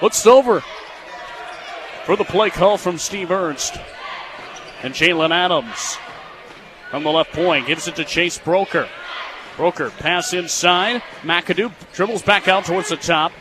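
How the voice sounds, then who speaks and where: High-pitched young American play-by-play man, noisy venue. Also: a little slow, pauses often. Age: young adult. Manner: excitable on big plays, clipped phrases. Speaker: basketball play-by-play broadcaster. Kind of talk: live radio sports play-by-play commentary. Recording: clearly noisy live sports venue sound.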